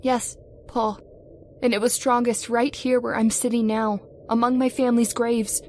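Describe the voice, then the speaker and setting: A woman's voice: fairly high pitch, flat delivery, normal speed, a little hiss or hum, lightly acted. A woman playing a troubled, entranced wife in an old-time radio horror drama, earnest and dramatic. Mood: haunted. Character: troubled, entranced young wife drawn to the graveyard. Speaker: voice actor.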